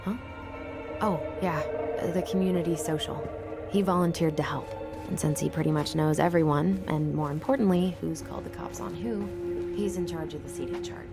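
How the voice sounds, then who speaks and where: A woman playing a guarded but loyal, gently teasing young sister in naturalistic video game dialogue. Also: sits low, fairly even intonation, normal speed. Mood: earnest, explaining. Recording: music behind the voice.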